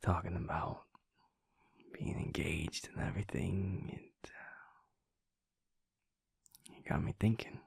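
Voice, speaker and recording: Man playing a husky, breathy young werewolf boyfriend. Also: deep voice, fairly even intonation, slow, long pauses, dry recording, studio quality, heavily acted. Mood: hesitant, earnest. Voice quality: husky, breathy, often near a whisper. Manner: casual, swearing, chuckling, trailing hesitations. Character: affectionate, cocky young male werewolf boyfriend, casual and sweary. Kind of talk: intimate boyfriend audio roleplay.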